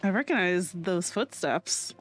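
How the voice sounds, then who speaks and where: A woman game streamer giving casual, expressive reaction commentary and thinking aloud as she plays. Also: on the low side, measured pace. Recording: game music behind.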